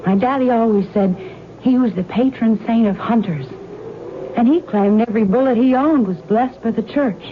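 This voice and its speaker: A woman plays a solemn, devout American huntress in a vintage radio mystery. Her delivery is grave and dramatic, on a tinny, clearly noisy old recording. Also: a little high, normal speed, close to an everyday voice. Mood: solemn, resolute.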